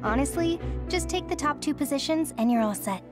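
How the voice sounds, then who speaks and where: A woman's voice: high voice, expressive, a little fast. An actress playing a frank, caring and apologetic female soldier in a video game. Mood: frank, teasing. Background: music.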